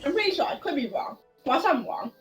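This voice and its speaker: A woman's voice: sits high, sing-song, brisk, soft background music. A woman streaming a game, reacting in casual, off-the-cuff chat as she plays. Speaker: gaming streamer.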